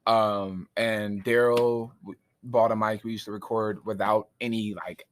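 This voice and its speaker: A man, telling stories in a relaxed way with drawn-out fillers. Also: on the low side. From Southern California. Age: 20s. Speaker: guest rapper. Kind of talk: casual storytelling. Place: recording studio.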